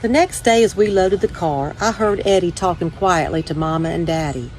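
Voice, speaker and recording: A woman's voice: on the low side, normal speed, a little mellow, slight background noise. A woman reading a children's storybook aloud as a first-person narration. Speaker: story time reader.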